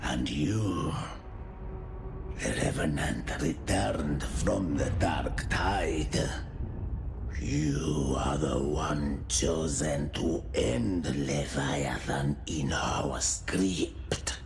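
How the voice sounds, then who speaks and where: An actor playing a grandiose, menacing villain in a video game, speaking in solemn, archaic, theatrical phrases with a gravelly, breathy edge. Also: male voice, rumbling low, drawn-out words, music in the background, exaggerated voice. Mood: solemn menace. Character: grandiose menacing villain, an architect of fate, courting recruits. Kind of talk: scripted video game cutscene acting.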